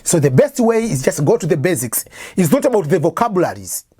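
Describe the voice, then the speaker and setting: A woman's voice: lowish voice, sing-song, fairly quick, mellow, husky, dry recording, clean studio sound. A woman from East Africa with an East African accent, a native Swahili speaker, gives an informal lecture on English test preparation and keeps restating her points with examples. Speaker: English test-prep teacher.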